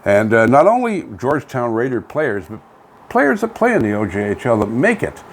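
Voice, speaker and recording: A man's voice: mid-range voice, wildly swooping pitch, moderate pace. A middle-aged or older Canadian man co-hosting a casual local radio show, talking in an emphatic, folksy, conversational way. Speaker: co-host of a local online radio vlog. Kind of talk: casual local chat and hockey commentary. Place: home studio. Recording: noisy home studio with background ambience.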